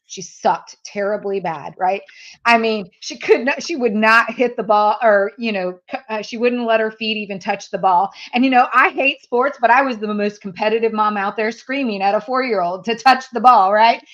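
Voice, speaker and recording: Woman, fifties, native German speaker, sales trainer guest, candid, laughs easily, higher pitch, muffled lo-fi recording. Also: normal speed, a bit bright. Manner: chatty and candid, laughs often. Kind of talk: casual interview chat.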